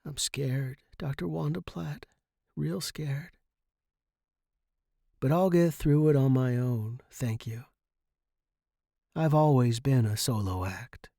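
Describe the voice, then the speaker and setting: A man's voice: mid-range voice, slow pace, gravelly, long pauses, mellow, dry recording, studio quality. A man playing a battered saxophone star in a dramatic story reading, intense and anguished, a bitter, frightened but defiant patient. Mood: scared, subdued.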